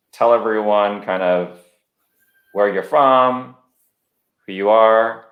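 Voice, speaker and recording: A man's voice: sits low, muffled recording. A man hosting a casual remote interview, informal, restarting his sentences and dropping in short interjections. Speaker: podcast host, interviewer. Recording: roomy, echoing remote recording.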